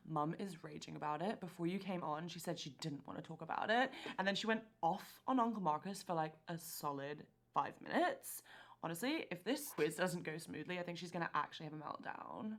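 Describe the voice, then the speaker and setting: Bubbly teenage girl, self-absorbed, gossipy, slangy, lowish voice, interactive film drama. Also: expressive, a little fast. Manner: gossipy, casual, youthful slang. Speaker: quiz host in the story. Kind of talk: naturalistic screen drama dialogue.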